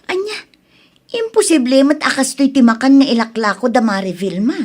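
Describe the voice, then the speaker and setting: Woman acting indignant, high-voiced Ilocano daughter. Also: very animated, normal speed. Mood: shocked. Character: indignant adult daughter complaining about bad food. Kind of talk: radio drama acting.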